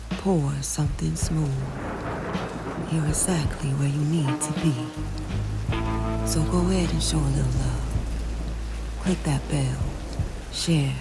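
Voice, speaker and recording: Sultry low-voiced late-night hostess, played by a woman. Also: creaky, heavily acted. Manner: intimate, seductive, unhurried. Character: sultry, flirtatious female late-night radio lounge hostess. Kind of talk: late-night radio host patter, storytelling intro. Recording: voice over loud music and rain.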